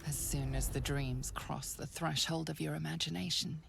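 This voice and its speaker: A woman playing an ominous, whispery narrator of a dark fantasy video game. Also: sits low, steady tone, normal speed, vocal fry, heavy background noise. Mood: ominous, hushed. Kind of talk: scripted second-person narration. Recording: faint music behind narration.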